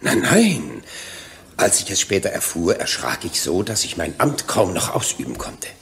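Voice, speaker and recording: A man's voice: low voice, wildly swooping pitch, moderate pace, vocal fry, a bit bright, a little hiss or hum, exaggerated voice. An actor playing a self-righteous, evasive witness in his sixties in a radio drama, emphatic and self-justifying. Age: 60s. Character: self-righteous, evasive 61-year-old male sales agent testifying. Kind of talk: German radio drama acting.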